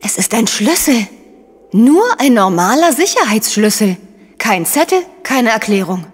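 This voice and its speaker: An actress playing a sharp, sardonic female investigator, questioning and pointed, in a crime radio play. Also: fairly high pitch, big pitch swings, unhurried, husky, big-room sound, heavily acted. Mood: probing.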